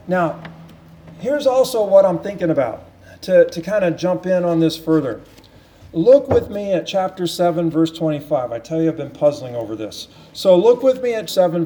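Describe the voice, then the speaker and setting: A man with a high, mellow voice preaches in an earnest teaching tone. He presses his points through repetition and often restarts his phrases. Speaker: pastor. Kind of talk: sermon Bible teaching.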